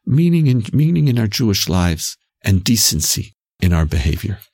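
This is a man with a Canadian accent and a husky, creaky voice that sits on the low side. He explains reflectively in conversation, swinging widely in pitch as he goes. He drives his points home emphatically, often repeating a phrase. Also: sing-song, moderate pace, a little mellow, dry recording, studio quality.

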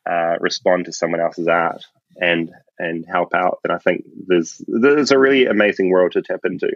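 Deep-voiced New Zealand man, lo-fi. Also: steady tone, normal speed, dry recording. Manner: enthusiastic, self-deprecating. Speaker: guest, film composer. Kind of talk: casual interview chat. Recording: dull, dry lo-fi audio.

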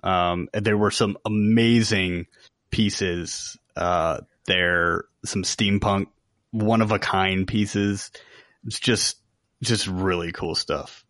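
A man's voice: sits low, fairly even intonation, slow, fairly bright tone, no echo, studio quality. A man co-hosting a podcast in casual conversation. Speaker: podcast co-host. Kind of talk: casual chat.

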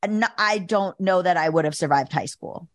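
A woman in her thirties talks candidly in a casual chat. She speaks in a quick flow full of fillers like "like" and "you know.". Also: lowish voice. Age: 30s.

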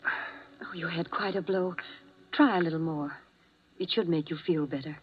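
An actress playing a frightened, anxious wife in 1950s radio drama, speaking nervously and breathlessly. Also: female voice, fairly low pitch, moderate pace, slightly rough. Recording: muffled old radio broadcast.

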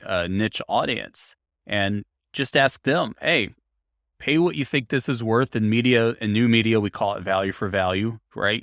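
A man's voice: on the low side, fairly bright tone, no room sound, clean studio sound. A man hosting an interview podcast, speaking in a thoughtful, explanatory conversational way. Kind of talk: conversational interview with narrated segments.

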